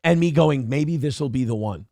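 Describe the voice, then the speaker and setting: A man's voice: mid-range voice, normal speed, vocal fry, darkish tone, no echo. A man hosting a morning show, bantering casually and profanely, rambling and exasperated as he reads out donor messages.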